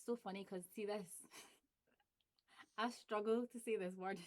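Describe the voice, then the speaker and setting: A woman's voice: medium pitch, fairly even intonation, takes it slowly. A woman with a Glaswegian accent chatting casually on her channel, laughing often and playing with example phrases. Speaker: YouTuber. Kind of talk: casual vlog chat.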